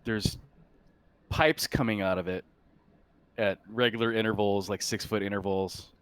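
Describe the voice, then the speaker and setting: Low-voiced man running a tabletop game. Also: steady tone, fairly bright tone, clear, resonant tone, no echo. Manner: halting, casual descriptions. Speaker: game master. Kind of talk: improvised narration of a tabletop sci-fi horror game.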